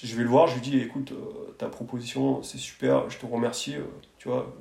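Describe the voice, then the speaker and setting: A man's voice: medium pitch, flat delivery, fairly quick, gravelly, darkish tone. A man from France talking casually as an interview guest, telling his story in a hesitant, colloquial way full of verbal tags. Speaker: architect, podcast guest. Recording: roomy echoing sound.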